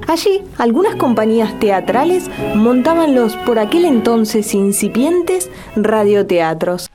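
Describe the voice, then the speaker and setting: High-pitched, animated woman narrator over music. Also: a little slow. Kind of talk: scripted radio documentary narration.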